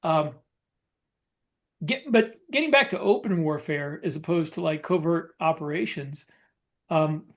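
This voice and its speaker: A man's voice: fairly high pitch, unhurried, long pauses. A man co-hosting a writers' podcast, explaining things in a casual panel discussion. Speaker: podcast co-host and military science fiction author.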